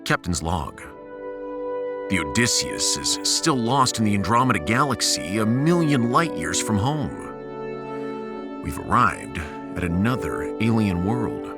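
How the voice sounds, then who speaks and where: A man's voice: on the low side, sing-song, slow, vocal fry, over music, heavily acted. A man playing a bold, sarcastic starship captain in a science fiction audio drama, with a dramatic and wry delivery. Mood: solemn, dramatic.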